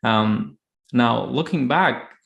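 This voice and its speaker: A man from Afghanistan telling a personal story reflectively as a guest, speaking haltingly with many filled pauses. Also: mid-range voice, vocal fry, fairly bright tone, lo-fi sound. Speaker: podcast guest, high-performance coach. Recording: roomy, echoey sound.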